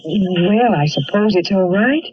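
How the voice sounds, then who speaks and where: An actress playing a gentle, sympathetic young cashier in a 1950s radio drama, hesitant and pleading. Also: female voice, mid-range voice, animated, unhurried, slight background noise, close to an everyday voice. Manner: soft-hearted, hesitant, pleading. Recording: dull vintage radio recording.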